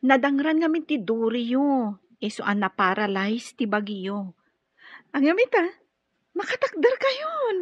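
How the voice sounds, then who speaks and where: An actress with an Ilocano Filipino accent playing a cheerful, supportive friend in a radio drama. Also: female voice, high voice, big pitch swings, slow, long pauses, slightly rough, no room sound, heavily acted. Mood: sympathetic. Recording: dull, lo-fi radio drama recording.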